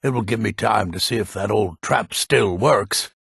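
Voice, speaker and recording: An actor playing a grumpy, proud old Nordic man in a fantasy video game, with a gravelly, raspy voice and a gruff, theatrical delivery. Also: lowish voice, moderate pace, a bit bright, no room sound, studio quality, heavily acted. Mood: gruff, resolute. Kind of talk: video game character dialogue.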